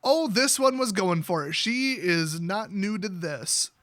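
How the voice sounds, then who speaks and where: A man's voice: high-pitched, unhurried. An American man telling a personal story in a casual, rambling way, with a sarcastic, jokey delivery. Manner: sarcastic, animated, jokey exaggeration.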